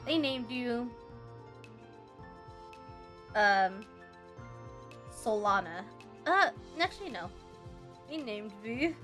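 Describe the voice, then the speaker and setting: Female game streamer, higher-pitched, chatty and reactive, reads story text aloud between muttered asides, music underneath. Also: expressive, extremely slow, pauses often, fairly bright tone. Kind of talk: casual game commentary, reading on-screen text aloud.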